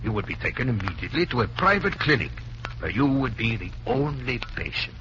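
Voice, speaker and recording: An actor playing a calculating Nazi agent who poses as a doctor, formal, measured and coolly menacing in a vintage radio drama. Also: male voice, mid-range voice, sing-song, close to an everyday voice. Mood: smooth menace. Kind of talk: 1940s radio drama acting. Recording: muffled, noisy old radio recording.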